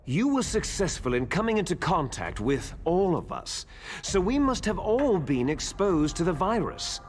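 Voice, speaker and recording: Game villain: bitter soldier twin, smug menace, higher-set male voice with dramatic swings, music behind. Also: very animated. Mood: coolly explaining. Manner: theatrical, smug, drawn-out menacing delivery. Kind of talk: dramatic video game cutscene acting.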